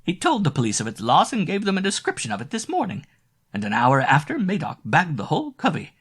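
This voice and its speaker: A man's voice: huge pitch swings, quick pace, a bit bright, husky, exaggerated voice. A man reading a storybook voicing a bossy, stout old female innkeeper who scolds and shrieks. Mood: gloating. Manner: shrill, scolding, screaming in panic.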